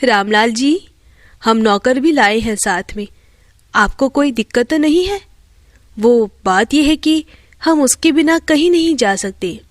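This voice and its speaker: A woman's voice: fairly high pitch, animated, a little slow, dry recording, clean studio sound, voice pushed down. A woman voicing a groom's blunt, food-loving middle-aged mother in a matronly manner for a storybook tale. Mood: cheerful.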